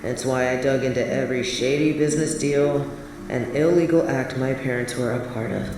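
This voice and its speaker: Heavy echo and music surround a young woman played by a voice actress, set back from the mic. She is a wistful, defiant biker confiding her memories on a date in an audio drama. Her pitch sits at an ordinary middle height. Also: steady tone, normal speed. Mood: bitter, defiant. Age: young adult. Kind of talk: scripted audio roleplay monologue. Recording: very echoey, distant sound with music behind.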